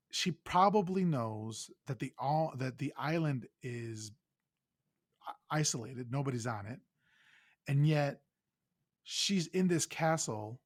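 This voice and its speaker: Male podcast host, medium-pitched voice, casual film recap, informal and salty, very dry recording. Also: dry recording.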